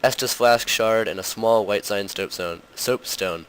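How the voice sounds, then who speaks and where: Low-voiced young man with a cold. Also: unhurried, slight background noise, rough recording. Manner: rambling, heavy on fillers like "like" and "um". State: slightly hoarse from a cold. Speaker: host of a gaming channel. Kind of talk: casual gameplay commentary.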